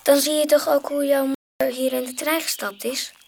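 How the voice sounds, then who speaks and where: A woman's voice: sits high, flat delivery, moderate pace, creaky, a little hiss or hum. A woman playing a precocious, logical young boy in a radio drama. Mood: curious, matter-of-fact.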